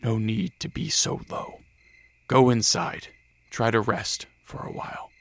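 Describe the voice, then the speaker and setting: An actor playing a weary, protective vampire count in a radio drama, speaking in a measured, halting, understated way. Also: male voice, fairly low pitch, creaky, no echo. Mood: gentle, reassuring.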